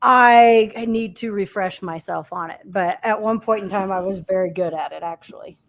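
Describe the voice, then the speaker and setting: A woman's voice: medium pitch, moderate pace. A woman chatting casually on an online show, telling stories and acting out what people said. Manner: chatty storyteller, acts out quotes. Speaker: co-host. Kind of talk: casual chat.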